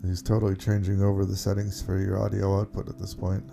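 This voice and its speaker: Man with very creaky, flat voice. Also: low-pitched, fast, music in the background. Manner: informal, unscripted step-by-step explaining. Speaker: tutorial presenter. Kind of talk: casual screen-recorded software walkthrough.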